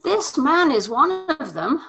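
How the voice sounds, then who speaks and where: A woman's voice: high voice, very animated, takes it slowly, full character voice. A woman playing an accusing young servant girl in a dramatic scripture reading, speaking pointedly.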